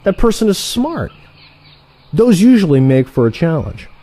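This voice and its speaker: A man acting an animated, cocky younger assassin. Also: high-pitched, normal speed. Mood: needling, eager. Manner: animated, wheedling, sarcastic. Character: excitable, cocky younger assassin, male trainee turned rival. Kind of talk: audio play dialogue.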